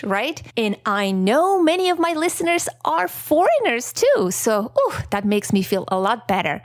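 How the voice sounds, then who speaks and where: Candid non-native podcast host, dry sound. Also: female voice, a little high, no room sound. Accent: non-native English. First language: Armenian. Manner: candid, self-deprecating, with frequent laughs. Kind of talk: reflective solo podcast monologue.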